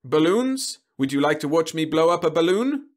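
A bright, oblivious robot salesman, as a man puts him on chirps his patter high and loud. He sounds relentlessly cheerful and mechanical in his eagerness, a storybook machine that never stops selling. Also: big pitch swings, normal speed. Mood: chirpy.

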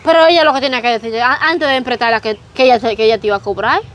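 A woman's voice: fairly high pitch, racing, fairly bright tone, dull audio, close to an everyday voice. A young woman acting as a friend who sighs and gossips sympathetically. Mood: sympathetic. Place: outdoors, rural yard by a hammock.

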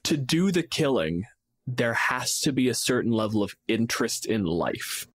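A man's voice: medium pitch, sing-song, moderate pace. Man casually co-hosting a gaming podcast. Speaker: podcast co-host and game master. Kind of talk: casual tabletop gaming discussion.

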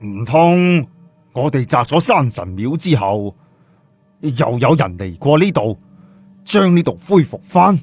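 A man's voice: medium pitch, sing-song, unhurried, a little mellow, husky, faint music behind, full character voice. A man voicing an anxious, superstitious old village chief in a flustered, pleading manner for an audio story. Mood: puzzled.

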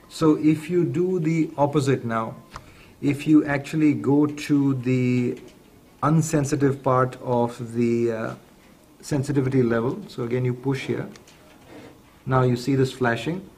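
A man giving a measured, methodical, step-by-step explanation as the presenter of a product tutorial. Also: mid-range voice, steady tone, a little mellow. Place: indoors at a table in a room. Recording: clearly noisy room recording.

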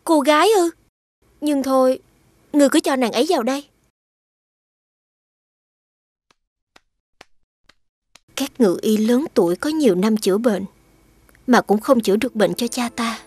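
High, earnest young noblewoman. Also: expressive, a little fast, darkish tone, breathy edge, no room sound. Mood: worried, doubtful. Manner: formal, earnest. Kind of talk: animated fairy tale acting.

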